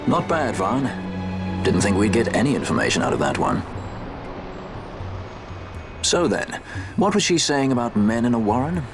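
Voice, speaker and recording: Wry, lively-toned male adventurer, played by an actor. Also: medium pitch, moderate pace, pauses often, close to an everyday voice. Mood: wry, confident. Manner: dry, nonchalant. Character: suave, wry male adventurer, casually confident. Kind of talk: scripted fantasy game acting. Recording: music behind the voice.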